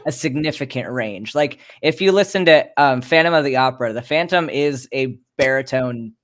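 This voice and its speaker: A man's voice: mid-range voice, moderate pace, very noisy. A man explaining things informally in a group chat, repeating phrases as he works out his point.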